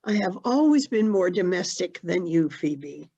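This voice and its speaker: A woman's voice: fairly low pitch, sing-song, a little slow, gravelly, dark tone, slightly rough, full character voice. An actress playing a prim, timid, domestic older society lady, fussy and proper in a stage comedy, heard through dull video call audio.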